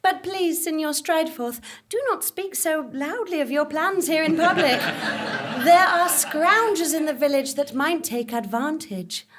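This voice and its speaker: A woman playing a gracious, flattering young lady in a live comic stage drama. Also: high voice, measured pace, crowd noise. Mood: admiring.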